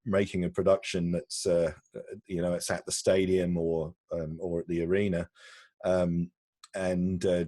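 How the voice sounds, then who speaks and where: Guy with a really deep voice on a video call interview, dry close sound, stumbles and repeats words a lot. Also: darkish tone, no room sound. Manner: halting, repeats words, frequent ums. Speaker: interviewee, broadcast technology executive.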